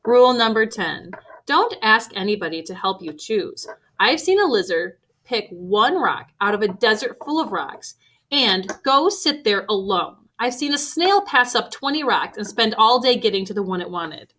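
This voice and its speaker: A woman reading a picture book aloud to children expressively, with deliberate emphasis on key phrases. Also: mid-range voice, very animated, a bit bright. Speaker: read-aloud storyteller for children. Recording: faint background noise.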